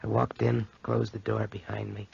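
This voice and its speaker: An actor playing a haunted, guilt-ridden young man, halting and anguished, with a raspy voice, in 1940s radio drama. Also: on the low side, completely flat delivery, darkish tone, a little hiss or hum. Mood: uneasy recounting. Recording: dull 1940s radio recording.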